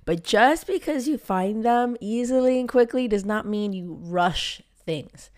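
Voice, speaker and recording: A woman's voice: on the high side, lively intonation, measured pace, darkish tone. A woman, hosting a casual solo podcast as a love coach, talking emphatically with many fillers while her voice comes back after a cold. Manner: emphatic, gets riled up, frequent fillers. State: recovering from a cold, voice coming back.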